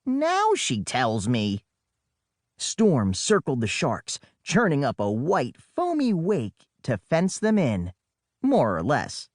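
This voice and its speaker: A man's voice: fairly high pitch, wildly swooping pitch, slow, darkish tone, no room sound, studio quality. A man reading a children's adventure audiobook in the first person as a boy storyteller, with dramatic delivery and wry conversational asides. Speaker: audiobook narrator.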